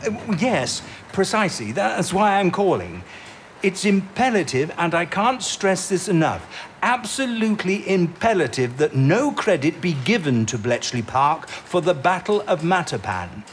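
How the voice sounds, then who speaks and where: An actor playing an eccentric, excitable wartime British codebreaking chief in an audio drama, with an emphatic, exclamatory manner. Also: male voice, high voice, slight background noise. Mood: exasperated, insistent. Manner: emphatic, exclamatory, halting when agitated. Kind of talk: period audio drama acting.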